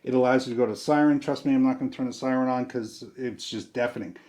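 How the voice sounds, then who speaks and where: A man's voice: mid-range voice, steady tone, fast talker, a little mellow. A man giving a casual product demonstration, explaining step by step in a conversational, folksy way.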